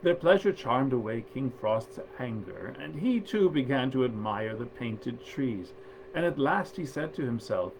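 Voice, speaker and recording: Guy reading old fairy stories out loud, measured n expressive, medium pitch, bit of room noise behind him. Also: vocal fry, low-quality recording. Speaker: actor reading stories aloud. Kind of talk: scripted storytelling of 19th-century fairy tales.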